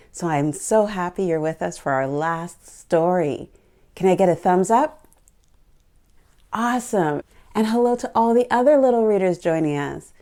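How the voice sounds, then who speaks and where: A woman's voice: medium pitch, mellow. A woman hosting a picture book storytime for young children, speaking in an encouraging, exclamatory way and putting questions to her little listeners.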